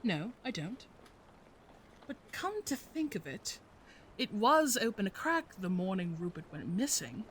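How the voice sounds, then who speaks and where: A genteel audio drama lady, polite and fussy. She gushes and frets over her pet in a mid-pitched voice, over faint background noise. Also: big pitch swings, unhurried. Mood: flustered, fond. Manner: prim, polite, gushing. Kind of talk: scripted audio drama acting.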